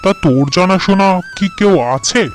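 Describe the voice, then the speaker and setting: A man's voice: sits high, moderate pace, natural voice. A man voicing a worried young farmer in a cartoon, fretful and exasperated.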